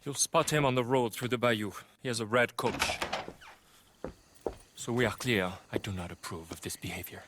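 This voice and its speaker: A man's voice: mid-range voice, moderate pace, noisy background, lightly acted. An actor playing a prim, disapproving townsman in a Western video game, stern and precise. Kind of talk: video game cutscene acting.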